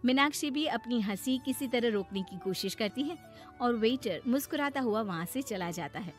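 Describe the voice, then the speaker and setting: Female storyteller for children's moral tales, higher register, scripted narration over light music. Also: lively intonation, normal speed.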